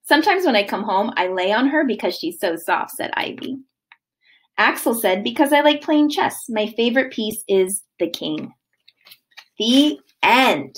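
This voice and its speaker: Female teacher, thirties, highish pitch, very animated sing-song read-aloud for young kids, recorded at home. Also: measured pace, breathy edge. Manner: expressive, sing-song storytelling delivery. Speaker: kindergarten teacher. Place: living room at home, indoors.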